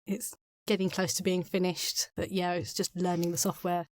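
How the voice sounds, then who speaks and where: A woman's voice: on the low side, level tone, normal speed. A woman speaking casually as a guest author in a podcast interview. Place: padded podcast studio.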